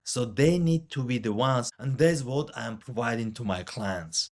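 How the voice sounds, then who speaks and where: A man's voice: mid-range voice, unhurried. A man who works as a financial advisor, a guest on a podcast, explaining things in an earnest, careful way. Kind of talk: conversational interview.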